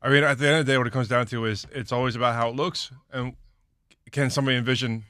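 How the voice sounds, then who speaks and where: A man with a loud, booming voice, a real estate agent guest talking casually on a radio show in a studio. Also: fairly even intonation, fast talker, dry recording.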